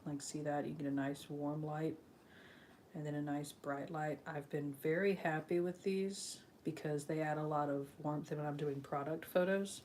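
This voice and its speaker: Chatty low-voiced woman on a live stream. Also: fairly even intonation, normal speed, darkish tone, a little hiss or hum. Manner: rambling, with frequent ums and restarts. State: sniffly from allergies. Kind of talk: casual live stream chat.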